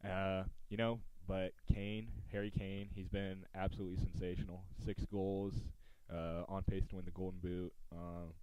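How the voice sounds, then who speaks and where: Deep, dark-voiced American sports podcast host. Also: male voice, level tone, moderate pace, few pauses, clear, resonant tone, dry recording, low-quality recording. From United States. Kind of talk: casual sports talk.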